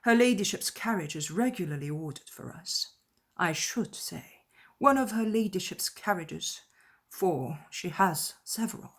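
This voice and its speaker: A woman voicing a pompous, fawning, self-satisfied clergyman in a dramatized classic novel, speaking effusively and deliberately. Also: lowish voice, animated.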